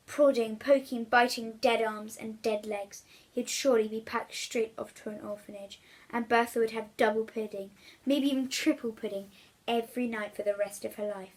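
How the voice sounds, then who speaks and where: A woman reading a children's story aloud in an expressive storytelling style, as the reader addressing young listeners. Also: fairly high pitch.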